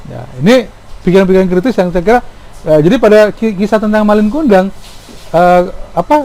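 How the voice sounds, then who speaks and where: A middle-aged man talks through his ideas in an informal, explanatory way. His voice sits a little high for a man and has a dark, relaxed tone. He is reflective, often posing rhetorical questions and adding small tags that check for agreement. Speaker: speaker giving commentary on folk tales.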